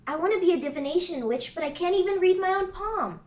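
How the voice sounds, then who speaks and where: A woman's voice: expressive, recorded from a distance. A woman playing a frantic young witch girl. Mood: pleading. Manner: high, whiny and fast when upset. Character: young female witch student Maya, anxious and dramatic. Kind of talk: table read.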